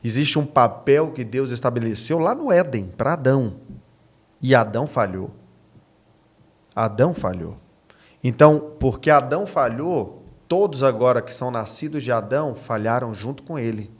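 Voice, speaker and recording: A man's voice: mid-range voice, lively intonation, moderate pace. A Brazilian man, a pastor, talking as an interview guest in a didactic, preaching way, repeating key phrases for emphasis.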